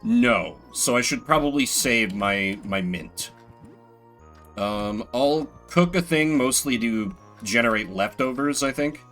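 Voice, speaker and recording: A man's voice: mid-range voice, animated, slow, a bit bright, faint music behind. A man doing solo video game commentary, reading game text aloud dramatically and adding sardonic, rambling asides. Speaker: game streamer.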